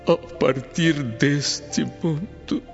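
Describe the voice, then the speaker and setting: An anguished, devout Brazilian slave at death's door, praying in radio drama style. The delivery is drawn out to an extreme, halting and breathy, with fry in the voice. Clear music plays behind the muffled recording. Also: male voice, a little high, exaggerated voice. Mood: pleading awe. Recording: dull lo-fi, loud music behind.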